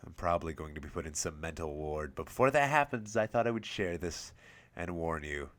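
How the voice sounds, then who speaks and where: A man with a low voice reads a scary story in the first person. He works from a script as the host and narrator of a horror reading series. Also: animated, brisk.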